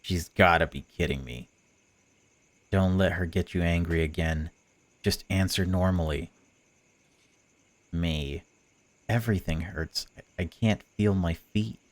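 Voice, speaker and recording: A man's voice: low voice, unhurried, long pauses, no room sound. A man voicing a suffering, bitter captive, reading bleak first-person narration from a visual novel. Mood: resigned, bitter. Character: suffering, bitter, frightened captive bound outdoors.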